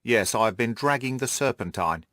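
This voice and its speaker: A man voicing a gruff, irritable, cocksure police detective in an audiobook, blustering and snappish. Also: medium pitch, animated, normal speed, pushed and bright, no room sound. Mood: frustrated. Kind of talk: audiobook character dialogue.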